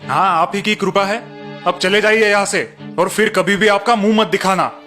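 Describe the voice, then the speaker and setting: A man's voice: high-pitched, steady tone, moderate pace, pushed and bright, faint music behind, pitched above the performer's own voice. A man voicing an earnest, proud younger brother from a village in a cartoon story. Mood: bitter. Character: timid, proud, resentful younger village brother selling sweets.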